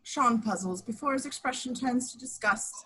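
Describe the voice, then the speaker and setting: A woman's voice: fairly high pitch, normal speed, fairly bright tone, slight background noise, poor audio. A woman reading action descriptions as the narrator of a scripted table read.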